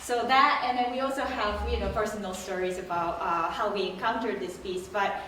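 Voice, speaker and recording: A woman's voice: medium pitch, fairly quick, sharp tone, a little hiss or hum. Japanese-accented woman, echoey and distant. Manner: thoughtful, hesitant with frequent "um" and "uh". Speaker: pianist. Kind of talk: casual spoken explanation to a live audience. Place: concert hall. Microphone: distant room mic. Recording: echoey hall recorded from afar.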